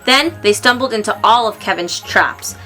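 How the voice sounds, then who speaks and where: A woman reads a children's storybook aloud in an expressive way, acting out the exclamations and dialogue. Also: mid-range voice, normal speed, faint music behind. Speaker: storybook reader.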